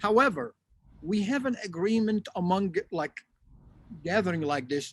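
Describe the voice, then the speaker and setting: A man's voice: high voice, animated, normal speed. A man from Egypt with an Egyptian accent, speaking informally in a panel discussion on a video call and animatedly acting out imagined exchanges. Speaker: symposium speaker.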